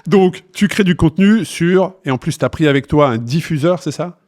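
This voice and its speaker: A man with a French accent hosts a podcast interview. His voice sits a little higher than most men's, and he talks in a casual, conversational way. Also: moderate pace, darkish tone. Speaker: podcast host interviewing a guest.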